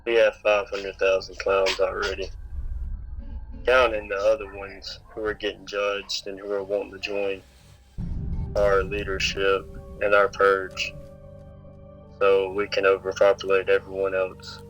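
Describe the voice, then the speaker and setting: A man acts out a menacing killer clown with a lowish voice, slow and halting. He is grim, vengeful and terse, giving threats in an interview with music behind him. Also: completely flat delivery, a bit bright, rough recording. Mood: grim, assured. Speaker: phone interviewee.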